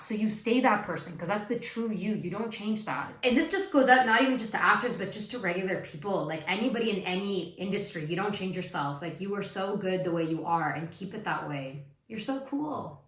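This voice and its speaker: A young woman from Canada, an actor, giving advice in a vlog with a raspy voice, talking emphatically and repeating her points for stress. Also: medium pitch. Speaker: actor and video host. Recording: echoey room, faint music.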